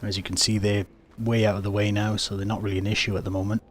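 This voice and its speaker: A man giving casual, plain-spoken game commentary, explaining his moves in an unhurried way. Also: fairly low pitch, little pitch movement. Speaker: gaming video host. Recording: faint background noise.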